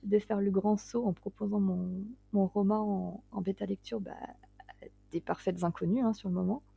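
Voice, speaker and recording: A woman's voice: mid-range voice, steady tone, normal speed, vocal fry, mellow, husky, no room sound. A woman in her late twenties, a guest writer talking casually in an interview, hesitant and self-deprecating, often breaking into laughs. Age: 20s.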